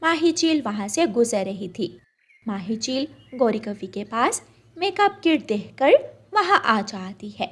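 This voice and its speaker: A woman narrates a children's cartoon story in a high voice. Her delivery is scripted storytelling. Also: sing-song, unhurried, fairly bright tone.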